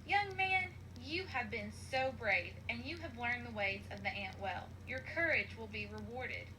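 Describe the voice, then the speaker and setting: An imperious storybook ant queen, as a woman reader puts her on, speaks high, with formal command. She is stern yet gracious. The recording has clear noise. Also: expressive, a little slow. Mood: proud, gracious.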